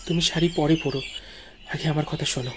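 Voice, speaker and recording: A man's voice: a little high, steady tone, a little fast, noisy background. A man narrating a ghost story dramatically in the first person, as a storyteller. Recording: music behind the voice.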